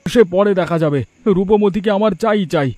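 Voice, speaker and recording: A man's voice: high-pitched, normal speed, mellow, no room sound, faint music behind, natural voice. A man voicing a lovestruck young village boatman in a cartoon, insistent and cocky. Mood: pleading, insistent.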